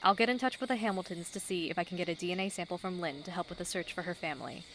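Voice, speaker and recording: A woman's voice: lowish voice, racing, a bit bright, a little hiss or hum, lightly acted, poor audio. A woman playing a businesslike, weary police detective in an audio drama, with a dry manner. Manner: dry, no-nonsense.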